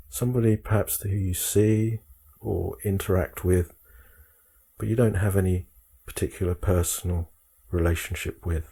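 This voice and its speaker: A man guiding a meditation, speaking calmly in measured short phrases with frequent pauses. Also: deep voice, husky. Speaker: meditation guide. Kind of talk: guided meditation instruction.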